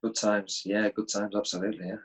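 A man's voice: lowish voice, fairly quick, husky, rough recording. Middle-aged guy, laid back, chatty, on a video call from home. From England. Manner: relaxed, easygoing with chuckles and restarts. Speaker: guest. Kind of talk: casual interview chat.

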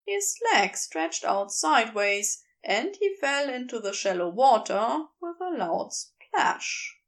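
A woman's voice: fairly high pitch, big pitch swings, takes it slowly. A woman narrating a scripted story as an audiobook reader. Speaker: podfic narrator.

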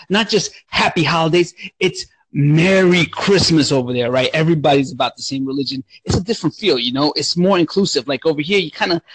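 A young adult man from Romania co-hosting a podcast, telling stories in an animated, profane, casual way. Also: a little high, husky, no room sound, low-quality recording. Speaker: podcast co-host. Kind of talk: casual chat.

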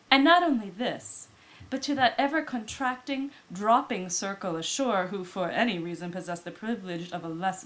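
A woman reading classic literary prose aloud as an audiobook narrator, with deliberate, dramatic phrasing. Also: mid-range voice, poor audio. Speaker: audiobook reader.

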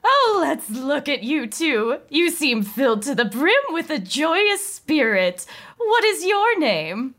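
Casting: woman playing effusive, over-welcoming forties hostess, high and animated, faintly sinister cheer, comedy table read. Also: slow, exaggerated voice. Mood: gushing, effusive. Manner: gushing, effusive.

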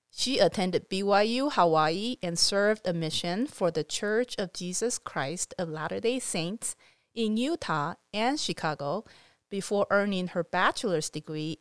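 A woman's voice: mid-range voice, clear, resonant tone, no room sound. A woman co-hosting a podcast, reading a guest introduction in a welcoming way. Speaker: podcast co-host.

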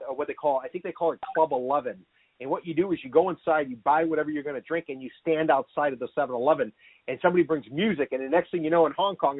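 A man hosting a casual interview, firing off questions fast and tripping over his own words. His voice sits a little high and sounds dry and tinny, like a phone call. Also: husky, dry recording. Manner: energetic, stammering questions. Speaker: podcast host.

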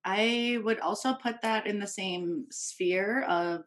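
A woman's voice: mid-range voice, slow. A woman in her thirties talking candidly in a casual chat, hesitant and full of fillers and restarts. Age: 30s.

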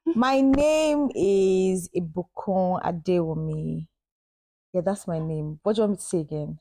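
A woman's voice: on the low side, gravelly, mellow. A young woman from Nigeria with a Nigerian accent, a podcast guest who chats casually with plenty of ums and laughs. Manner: bubbly, laughing, many ums and pauses.

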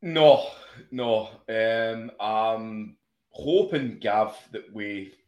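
A man co-hosting a podcast, chatting fast and casually, stumbling over restarts and swearing freely. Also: lowish voice, a little mellow. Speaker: podcast co-host. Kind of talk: casual football chat. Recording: echoey room.

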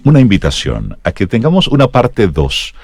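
A man's voice: fairly low pitch, huge pitch swings, normal speed, slightly rough, dry recording, studio quality. A man hosting a conversational radio show, courteous and emphatic in the way he talks.

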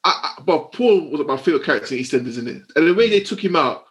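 Guy talking fast with his mates, rambly, lotta "you know". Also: a little high, lively intonation. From West London. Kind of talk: casual group chat.

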